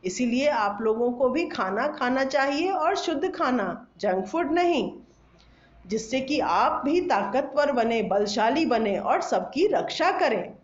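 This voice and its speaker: A woman's voice: sits high, lively intonation, takes it slowly. A woman telling a bedtime story to children in an instructive, questioning manner. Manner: instructive, asks listeners questions. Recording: echoey room, slightly dull sound.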